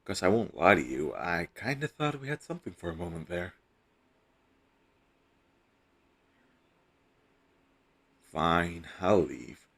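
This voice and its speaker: A secretly heroic hostage acted by a man in an intimate audio roleplay. His voice sits very low, with heavy creak and a husky grain. He teases wryly and flirts coyly, leaving small hesitant gaps. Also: very animated, normal speed, a bit bright, heavily acted. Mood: smug, playful. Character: captured superhero posing as a hostage, teasing, flirtatious, coy.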